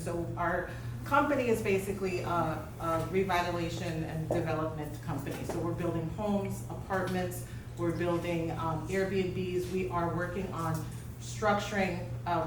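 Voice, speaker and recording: Woman, slightly low voice, earnest community speech; distant mic in a very echoey hall with noise. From United States. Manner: earnest, fillers and restarts. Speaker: education director.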